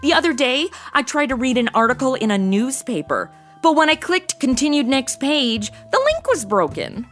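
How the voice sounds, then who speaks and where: A woman's voice: sits high, sing-song, normal speed, a bit bright, faint music behind. An actress playing a brash, flippant, clueless young modern party woman with slangy talk in a comedic audio drama. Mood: breezy.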